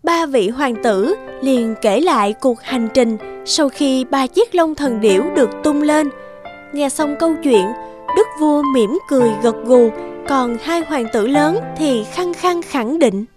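A woman's voice: sits high, expressive, measured pace. A woman narrating a scripted children's fairy tale. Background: background music.

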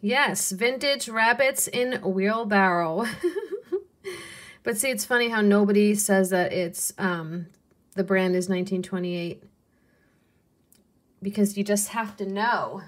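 Woman, young adult, unhurried, slow relaxed talk, soft muttered asides, casual haul video. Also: medium pitch. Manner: unhurried, softly muttering asides, cooing over pieces.

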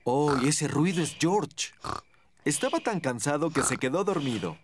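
A man narrating a children's cartoon in simple, clearly phrased storybook style. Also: a little high, expressive, normal speed, noisy background, close to an everyday voice.

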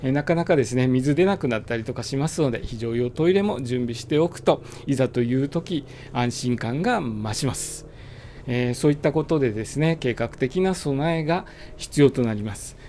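Male motovlogger, calm chatty explainer, warm mellow tone, light wind hiss under the voice. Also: mid-range voice, moderate pace. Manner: relaxed, filler-heavy, explanatory. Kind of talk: casual riding monologue. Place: riding a motorbike on suburban roads.

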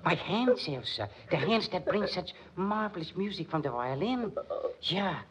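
An actor with a German accent playing a lovesick, deranged musician turned murderer, sinisterly tender and theatrical in 1940s radio style. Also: male voice, sits high, big pitch swings, measured pace, a bit bright, full character voice. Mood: menacing. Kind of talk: 1940s radio drama acting. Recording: muffled 1940s radio recording, faint noise.

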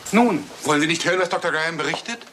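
A man's voice: fairly high pitch, animated, rapid-fire, bright, forceful tone, a little hiss or hum. An actor playing a detective's eager, questioning male companion in a German crime radio play. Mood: eager, curious.

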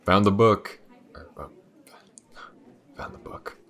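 A man playing a weary, driven detective in an audio roleplay, with a hesitant, muttering delivery and a gravelly voice. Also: slow pace, fairly bright tone, full character voice. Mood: tentative, focused. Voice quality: very deep, gravelly. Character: weary, driven male detective investigating a dark mystery. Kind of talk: intimate audio roleplay acting.

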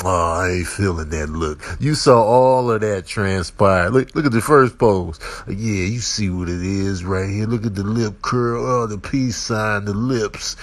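A man with a slightly deep voice gives comic running commentary. He is casual and slangy, mocking in a teasing way. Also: no echo. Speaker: comedian.

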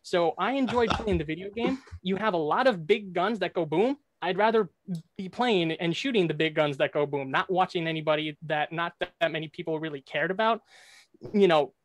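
A man's voice: high-pitched, normal speed, no echo. A young man on a video call with a headset, making brash, trash-talking debate points. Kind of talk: competitive debate argument.

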